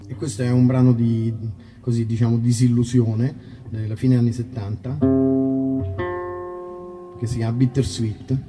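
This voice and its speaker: A man's voice: medium pitch, level tone, a little slow, long pauses. A man with an Italian accent chatting casually between songs at a concert, rambling and joking with self-deprecating irony. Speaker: musician performing a live concert. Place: outdoor festival stage in a park. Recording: live open-air concert, distant mic, music. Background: acoustic guitar music.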